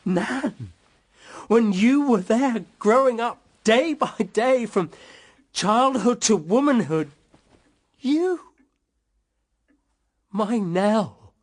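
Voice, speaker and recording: An actor playing a tormented, passionate British husband, with a volatile, melodramatic radio drama delivery. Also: male voice, sits high, animated, drawn-out words, pauses often, heavily acted. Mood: anguished, ardent. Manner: volatile, melodramatic, swinging from forceful to hushed. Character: tormented, passionate husband in love with wife's sister.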